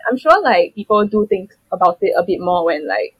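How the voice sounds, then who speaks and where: A woman's voice: lowish voice, sing-song, brisk, husky, dry recording, dull audio. A young Singaporean woman speaking as a guest in a casual panel chat, rambling with many fillers. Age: young adult.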